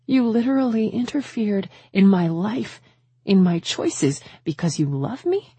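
A woman's voice: low voice, slow, dark tone, slightly rough, no room sound, heavily acted. A woman voicing a hurt, defiant woman with an indignant, cutting manner in audiobook dialogue. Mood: flabbergasted.

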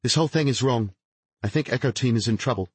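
A man's voice: medium pitch, fast, slightly rough, no echo, pitched above the performer's own voice, lightly acted. A man voicing an urgent, commanding female military team leader in clipped orders, in a thriller audiobook. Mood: urgent, wary.

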